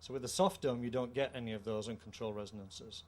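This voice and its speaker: A middle-aged man with a British accent gives a measured, formal technical explanation of a product. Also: mid-range voice, steady tone, darkish tone. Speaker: company co-founder presenting a product. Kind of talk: technical product presentation in an interview. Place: indoor trade show room. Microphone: handheld microphone. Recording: clear background noise, show-room ambience.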